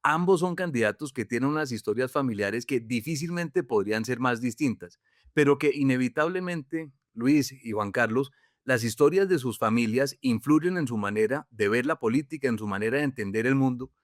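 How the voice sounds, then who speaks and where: A man's voice: mid-range voice, lively intonation, normal speed, no room sound, clean studio sound. Man hosting a podcast. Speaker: podcast host. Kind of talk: scripted podcast narration and introduction.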